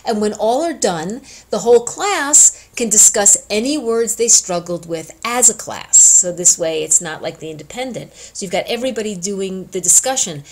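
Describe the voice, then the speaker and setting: A woman giving an informal instructional talk as a teacher, conversational and emphatic, stressing key words deliberately. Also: medium pitch, a little mellow. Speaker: education instructor addressing student teachers.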